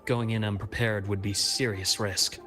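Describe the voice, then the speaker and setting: A man's voice: fairly low pitch, little pitch movement, music in the background, natural voice. Composed, shrewd male investigator played by an actor. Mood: measured, cautious. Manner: measured, deliberate, analytical. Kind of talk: scripted video game dialogue.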